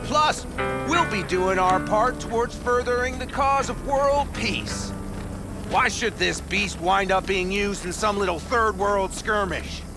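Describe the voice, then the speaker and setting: An actor playing a cynical, teasing veteran nomad smuggler in a video game, with a sardonic, animated delivery. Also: male voice, high-pitched, unhurried, music in the background. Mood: wry, teasing.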